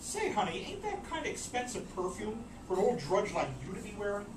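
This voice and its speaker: A woman's voice: medium pitch, big pitch swings, a little fast, lightly acted. A woman playing a vain, imperious mermaid diva who wisecracks theatrically in a story reading. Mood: sassy. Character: vain, brassy mermaid diva, a sassy cabaret singer. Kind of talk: live dramatic story reading. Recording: noisy, echoey room from far off.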